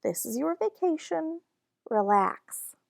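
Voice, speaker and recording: A woman's voice: high-pitched, animated, takes it slowly, gravelly, dry recording, studio quality, pitched above the performer's own voice, exaggerated voice. A woman voicing a firm, concerned mother in a children's storybook. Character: concerned, firm mother scolding her husband.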